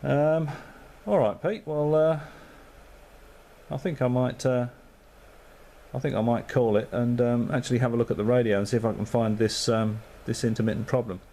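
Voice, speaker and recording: A man's voice: medium pitch, normal speed, mellow. A man on amateur radio, chatting casually and thinking aloud with lots of fillers. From Australia.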